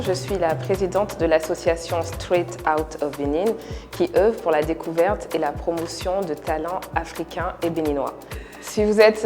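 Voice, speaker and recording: Formal woman welcoming contestants, echoey. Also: fairly low pitch. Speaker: association president welcoming contestants.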